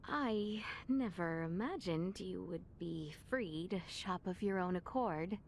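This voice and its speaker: A woman playing a poised, dutiful young princess in a video game, speaking with refined, measured politeness. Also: lowish voice, expressive, slight background noise. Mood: gracious. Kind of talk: video game cutscene acting. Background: music.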